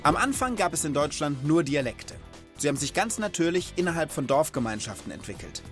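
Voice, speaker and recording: Animated, bouncy male show host. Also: on the high side, brisk, soft background music. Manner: animated, joking, asks eager questions. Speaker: host of a children's explainer show. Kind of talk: lively, playful explaining to a child. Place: Munich.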